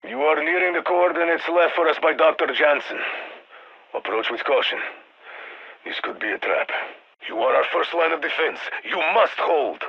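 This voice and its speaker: A commanding Russian soldier in a military video game. He is raspy, fairly high for a man, and speaks in clipped, dry orders with a Russian accent. The sound is slightly dull, as if through a radio. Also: big pitch swings, moderate pace, heavily acted. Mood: urgent, stern. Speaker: mission handler.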